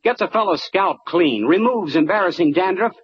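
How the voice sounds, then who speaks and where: An actor playing an enthusiastic young fellow in a vintage radio commercial. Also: male voice, high voice, expressive, normal speed. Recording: dull, hissy old radio recording.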